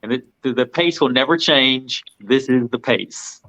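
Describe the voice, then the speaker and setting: Male film panelist, medium pitch, slightly dull recording, conversational, drives points home by repetition. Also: a little slow, sharp tone. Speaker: panelist on a film review show. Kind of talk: casual film discussion.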